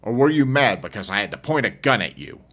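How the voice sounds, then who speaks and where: Guy acting a tough impatient zombie apocalypse survivor for an audiobook, bossy n blunt, big pitch swings. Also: very animated, fast, fairly bright tone. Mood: sardonic. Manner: blunt, commanding. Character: hard-bitten, impatient armed male survivor driving a truck.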